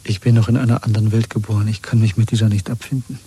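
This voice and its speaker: An actor playing a disillusioned, earnest rebel in a dystopian radio play from the 1970s. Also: male voice, fairly low pitch, level tone, racing, mellow, breathy edge. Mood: brooding. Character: disillusioned, brooding rebel man in a dystopian state.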